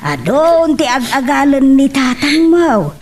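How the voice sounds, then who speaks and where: Woman acting anxious mother, high and distant. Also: big pitch swings, slow pace, a little mellow, a little hiss or hum, heavily acted. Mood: pleading, sympathetic. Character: anxious, sympathetic mother pleading with her grown child. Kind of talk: Ilocano audio drama acting.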